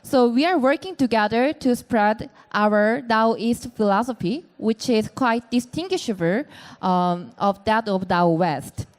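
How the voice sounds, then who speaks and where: A woman with a Korean accent opens and runs a conference panel, her voice sitting a little high. She talks with many fillers and small hesitations, as someone speaking in a second language on stage. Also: a little slow. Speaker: panel moderator. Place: conference venue resembling a bar.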